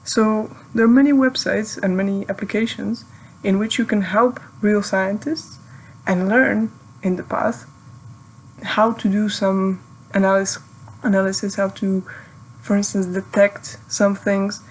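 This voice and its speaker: Young Serbian woman, native Serbian speaker, friendly advice tone, slight fry, faint hiss. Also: mid-range voice, fairly even intonation, unhurried, poor audio. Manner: friendly, thoughtful, with light laughs. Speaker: PhD student giving advice. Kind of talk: recorded video message.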